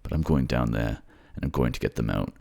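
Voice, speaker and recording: A man's voice: rumbling low, fairly quick, creaky, a little mellow, full character voice. A man voicing a grief-stricken, foul-mouthed male king with a bitter, raw way of talking. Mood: defiant.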